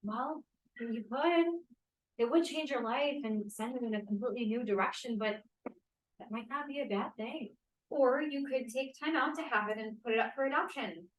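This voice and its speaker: A woman's voice: a little high, normal speed, lightly acted. A woman playing a devout, disapproving young friend in a play table read, earnest and moralizing. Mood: gently persuasive. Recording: roomy, echoey, distant microphone.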